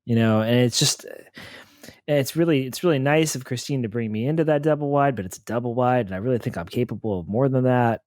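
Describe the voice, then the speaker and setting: A man playing a tabletop role-playing game, improvising casually in character and haltingly thinking aloud. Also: mid-range voice, darkish tone, no room sound, clean studio sound.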